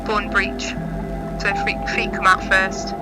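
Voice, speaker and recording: Very slow, halting woman over music. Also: mid-range voice, monotone, rough recording. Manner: halting, repeating words. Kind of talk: casual unscripted explanation. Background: loud music.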